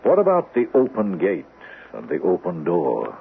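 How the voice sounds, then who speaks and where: A low-voiced, suave doctor in an old radio mystery, his tone sliding up and down as he probes and insinuates. He speaks deliberately, with veiled menace, over a tinny, band-limited broadcast. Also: wildly swooping pitch, creaky, a little hiss or hum, exaggerated voice. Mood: probing, soothing. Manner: measured, deliberate, insinuating, dramatic pauses.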